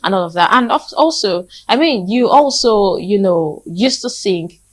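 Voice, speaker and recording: A woman's voice: medium pitch, expressive, a little slow. A woman with a Nigerian accent co-hosting a conversational television interview. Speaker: co-host, interviewer.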